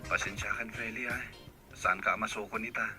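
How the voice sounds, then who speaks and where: A man's voice: lowish voice, fairly even intonation, brisk, over music, natural voice. A man playing a devoted, slightly shy young boyfriend in a radio drama, speaking politely and hesitantly with an Ilocano accent. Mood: apologetic.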